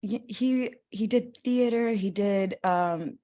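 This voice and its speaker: A woman's voice: medium pitch, slow, warm tone. A woman in her twenties from Los Angeles, speaking as an interview guest in a loose, rambling way with many fillers and restarts. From Los Angeles, USA. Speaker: guest actor. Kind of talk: casual interview talk.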